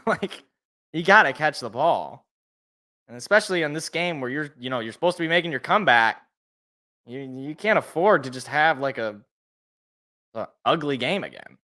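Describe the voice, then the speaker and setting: Bright-voiced man calling football play-by-play. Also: a little high, moderate pace, long pauses. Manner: excited calls, casual asides. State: allergies, a little rough and sniffly. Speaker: sports podcast host. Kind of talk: live play-by-play commentary.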